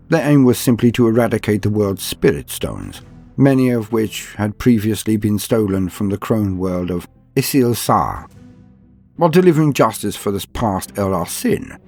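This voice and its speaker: Low, husky male lore narrator. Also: a little mellow. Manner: solemn, dramatic delivery. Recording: music behind the voice. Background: background music.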